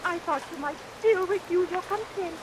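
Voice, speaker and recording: A woman's voice: very high-pitched, normal speed. A woman playing a fretful, lovelorn young daughter in a vintage radio melodrama, plaintive and genteel. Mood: anxious, pleading. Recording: vintage radio recording, hissy rain noise.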